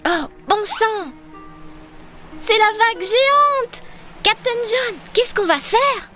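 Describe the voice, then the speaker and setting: A woman voicing a plucky, adventurous young girl explorer in a children's cartoon, speaking in an eager, animated, explanatory way. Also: very high voice, slow pace, over music, exaggerated voice. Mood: urgent.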